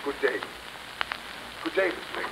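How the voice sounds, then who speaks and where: A man's voice: measured pace, sharp tone. Supporting role: courteous, anxious clergyman, earnest and formal, noisy vintage radio recording. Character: worried, courteous churchman seeking help. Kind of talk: 1930s radio mystery acting.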